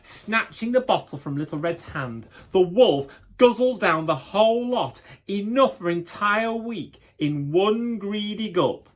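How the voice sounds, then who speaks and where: A man tells a children's story with a very lively, varied pitch. His delivery is playful and dramatic, and he breaks off to speak straight to his young listeners. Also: unhurried, few pauses. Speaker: guest story reader.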